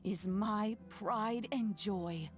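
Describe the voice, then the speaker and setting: Kindly elderly grandmother: unhurried, gentle, doting and proud, for a game, soft music behind. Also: mid-range voice. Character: kindly, proud old oni granny. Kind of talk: video game voice acting.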